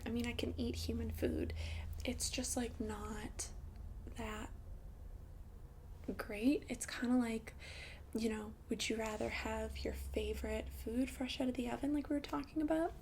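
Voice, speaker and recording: A woman voicing a friendly, considerate young vampire in a chatty ASMR roleplay, speaking in a soft, breathy half-whisper. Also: on the high side, a little hiss or hum. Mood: casual, eager. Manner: chatty, hesitant, reassuring, casual. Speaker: ASMR performer.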